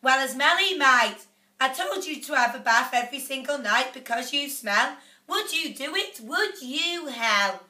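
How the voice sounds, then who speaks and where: A woman voicing a bossy middle-aged mother who scolds in loud outbursts. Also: on the high side, slow. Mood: shrill. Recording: echoey room, off-mic.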